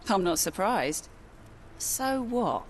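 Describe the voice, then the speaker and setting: A woman's voice: mid-range voice, big pitch swings, slow, slight background noise. An actress playing a guarded, plain-spoken woman who runs a Victorian port tavern in a video game. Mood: defensive. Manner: wary, matter-of-fact. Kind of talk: video game cutscene acting.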